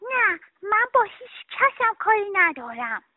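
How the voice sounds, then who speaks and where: A woman's voice: very high-pitched, very animated, no room sound, voice pushed up. A woman voicing a sulky, tearful little girl in a children's story, with a whiny, pouting delivery. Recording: tinny phone-like dry sound.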